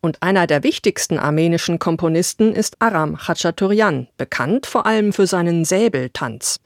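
A woman's voice: fairly low pitch, no echo, clean studio sound. A woman presenting a classical concert on radio with scripted narration.

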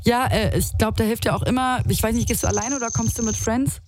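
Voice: medium pitch, fast, a little hiss or hum. A radio host, chatting with callers on a live call-in show in an upbeat way, with lots of fillers. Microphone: studio microphone.

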